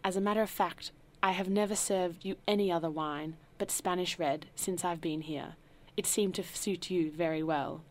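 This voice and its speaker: A woman voicing a dignified, grave butler who speaks formally and quietly. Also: lowish voice, steady tone, slight background noise, lightly acted. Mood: cool. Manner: formal, measured, softly spoken.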